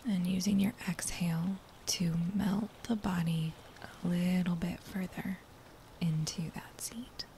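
Slow, whispery woman guiding meditation. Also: fairly low pitch, fairly even intonation, rough recording. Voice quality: soft, breathy, near-whispered. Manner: gentle, soothing, long unhurried phrases. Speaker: meditation guide. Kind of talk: guided body scan meditation. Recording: faint background noise.

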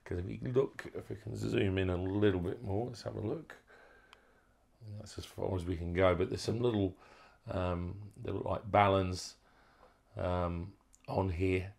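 A man with a fairly low voice talks through a hands-on electronics project. He is an informal hobbyist presenter, unscripted and a little hesitant, often pausing and rephrasing as he goes. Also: fairly even intonation, vocal fry, a little mellow. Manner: hesitant, unscripted, halting phrasing.

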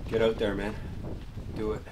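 A man's voice: on the low side, completely flat delivery, normal speed, background noise. A man in his thirties talking casually to camera in short, plain remarks, like an outdoor vlogger in a tent. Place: small canvas tent with wood stove.

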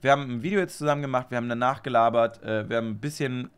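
Young guy rambling on a podcast, swears a lot, lots of ähs, medium pitch. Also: fairly even intonation, racing, smooth. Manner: rambling, swears often, laughs easily, hesitant with fillers. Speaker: podcast co-host. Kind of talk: casual chat.

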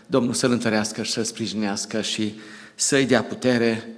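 A man's voice: on the low side, fairly even intonation, brisk. A middle-aged man, a church leader, fervently encouraging the congregation and introducing the next speaker. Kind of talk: exhortation at a church service. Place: church sanctuary. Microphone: lectern microphone. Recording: long hall reverb in a church.

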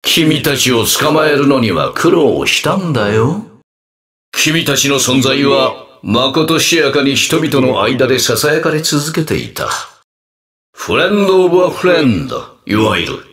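An actor playing a pompous, controlling mastermind villain in a video game, with a condescending, grandiose, theatrical delivery. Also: male voice, mid-range voice, sing-song, measured pace, a bit bright. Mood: grandiose coaxing.

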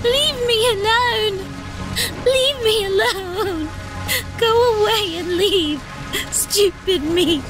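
A woman's voice: very high voice, drawn-out words, over music, heavily acted, poor audio. A woman playing a distraught, self-blaming young peasant girl, tearful and pleading, in a fan comic dub. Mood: tearful despair.

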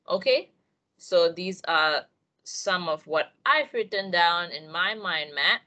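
A woman's voice: on the low side, pushed and bright. A young woman with a Malaysian accent giving an encouraging online English writing lesson, speaking directly to her students.

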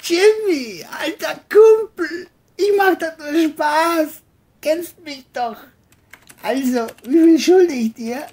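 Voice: high voice, slow, a little mellow, pitched above the performer's own voice. A narrator voicing an exclamatory, exasperated, scheming man in an audio crime story. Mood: falsely friendly. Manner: exclamatory, berating.

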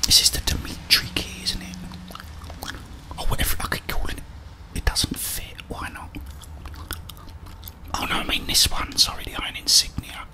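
A man's voice: moderate pace, pauses often. A middle-aged British man giving whispered ASMR commentary on a video game, muttering his thoughts aloud. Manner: muttering to himself, thinking aloud. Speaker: gaming streamer.

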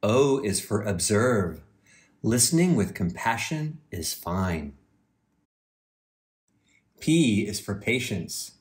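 A man reading a children's storybook aloud with measured, deliberate phrasing. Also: on the low side, very animated. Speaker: university president, guest reader.

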